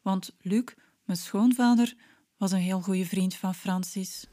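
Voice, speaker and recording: Flemish woman narrating a personal podcast story, soft dark mellow tone. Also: mid-range voice, slow pace.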